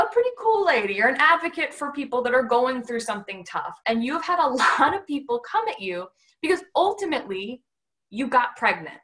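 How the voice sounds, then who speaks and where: A woman's voice: high-pitched, a bit bright. A woman hosting a podcast over a video call, blunt, joking and happy to swear. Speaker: podcast host. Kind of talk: casual interview chat. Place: home room, video call.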